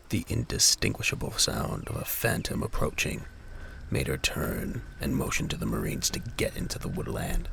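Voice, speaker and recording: A man narrates a scripted audio drama in an extremely deep voice. It is thick with gravelly creak, and the pitch moves expressively through each sentence. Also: fairly bright tone, slight background noise.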